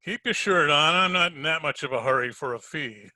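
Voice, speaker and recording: A man playing a wisecracking, unhurried hardboiled detective in an old-time radio drama, wry and slangy. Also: on the high side, sharp tone. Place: home, recorded over a video call.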